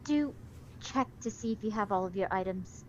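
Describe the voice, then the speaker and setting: A woman playing a tabletop game, chiming in with brief, quiet remarks. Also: medium pitch, expressive, moderate pace, highs cut off, faint music behind. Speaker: player.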